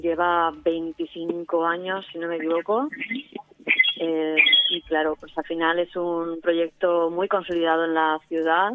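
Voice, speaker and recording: A woman's voice: fairly low pitch, little pitch movement, measured pace, noisy background. A woman with a Spanish accent from Spain talking casually and emphatically as a guest in a radio interview. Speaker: community radio member, interviewee. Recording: narrow-band remote line, music behind.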